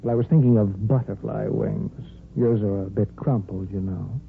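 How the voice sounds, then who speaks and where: A man's voice: on the low side, steady tone, moderate pace, gravelly. An actor playing a jealous, sardonic man wounded in love in a 1950s radio soap opera. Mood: teasing. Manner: dry, sardonic. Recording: noisy tinny 1950s radio recording.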